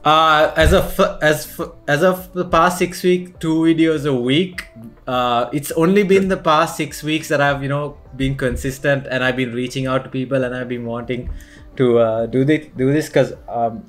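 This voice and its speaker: A man's voice: mid-range voice, fairly even intonation, moderate pace, fairly bright tone, faint music behind. A young man from Sri Lanka hosting a podcast interview, talking in a casual, hesitant way with many fillers and restarts, and laughing easily. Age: young adult. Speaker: podcast host.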